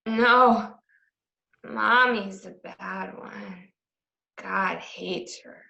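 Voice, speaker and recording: A woman's voice: medium pitch, drawn-out words, vocal fry, pushed and bright, dull audio, heavily acted. A woman playing a plaintive, accusing dead child in a radio drama. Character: accusing, menacing dead child haunting its mother.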